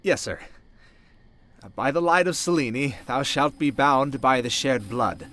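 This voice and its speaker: A man's voice: medium pitch, animated, a little slow, a bit bright. A man playing a deferential servant in a fantasy audio drama, polite, hesitant and formal, recorded over a faint noise bed. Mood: deferential, ceremonial. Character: deferential male servant performing blood-pact rites.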